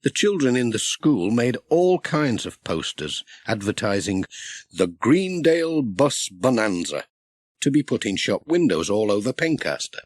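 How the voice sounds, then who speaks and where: Animated male children's storyteller. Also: lowish voice, a little slow, no echo, studio quality. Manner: expressive storyteller. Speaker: audiobook narrator. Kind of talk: scripted children's story narration.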